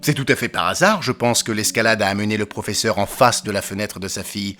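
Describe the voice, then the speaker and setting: An actor playing a brisk, provocative detective in an audio drama, with a theatrical, declamatory delivery. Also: male voice, on the low side, bright, forceful tone, soft background music. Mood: explanatory, assured. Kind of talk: French audio drama acting.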